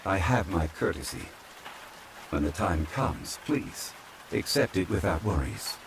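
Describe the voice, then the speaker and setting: A man playing a calm, courtly ancient former god from a fantasy game, speaking formally and with measured politeness in an ASMR roleplay. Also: sits low, vocal fry, background noise, heavily acted. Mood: calm, courteous. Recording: steady rain sound over the voice. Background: rain falling.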